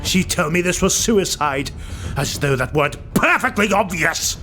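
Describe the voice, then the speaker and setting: A proud dark elf warrior, played by a male narrator, pitched a little high and edged with tension. His delivery is bitter and sardonic, in fantasy audiobook style over clearly audible music. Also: animated, moderate pace. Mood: wry, wild. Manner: tense, sardonic. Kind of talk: fantasy audiobook character acting.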